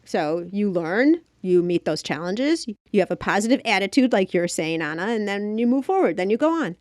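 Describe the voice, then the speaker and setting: A woman's voice: mid-range voice, big pitch swings, normal speed, darkish tone, no echo, studio quality. A woman hosting a conversational podcast interview in a chatty, affirming, casually self-deprecating way. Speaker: podcast host.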